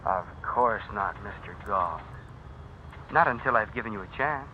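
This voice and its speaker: An actor in an old radio drama playing a smug, menacing blackmailer, a writer who talks with insinuating mock politeness. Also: male voice, lowish voice, lively intonation, measured pace. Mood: cool threat. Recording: dull, noisy old radio recording.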